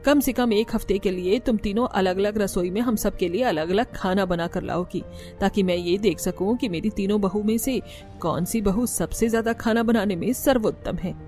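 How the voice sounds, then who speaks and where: A woman's voice: medium pitch, moderate pace, mellow, soft background music, natural voice. A woman voicing a stern middle-aged mother-in-law who gives her daughters-in-law orders in a matter-of-fact way. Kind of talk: cartoon voice acting.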